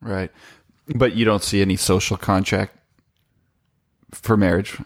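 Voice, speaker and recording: A man's voice: low-pitched, steady tone, unhurried, no room sound, studio quality. A man hosting a casual interview chat, informal and probing in the way he puts questions. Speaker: podcast host.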